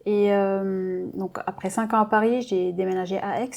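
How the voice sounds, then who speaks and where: A woman's voice: medium pitch, steady tone, moderate pace, vocal fry, darkish tone. Hesitant young woman from Lebanon. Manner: hesitant, many fillers, modest. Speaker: doctoral student guest. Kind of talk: interview answers.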